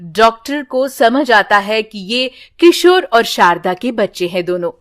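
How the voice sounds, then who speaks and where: A woman's voice: fairly high pitch, lively intonation, unhurried. A woman storyteller reading a scripted children's tale in a sorrowful, dramatic way.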